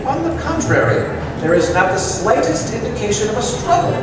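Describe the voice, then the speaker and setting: A man playing a shrewd, composed, dryly witty detective in a theatrical old-time radio drama, speaking deliberately. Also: sits high, big pitch swings. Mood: analytical, intrigued. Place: live stage show in a large hall. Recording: echoey hall, far from mic, loud noise.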